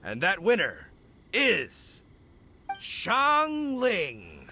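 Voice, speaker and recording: A man voicing a cooking contest judge in a video game, speaking in a grand, deliberate, dramatic manner. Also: high voice, wildly swooping pitch, heavy background noise, full character voice. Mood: grand, suspenseful. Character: solemn, flustered male cooking contest judge. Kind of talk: anime video game voice acting.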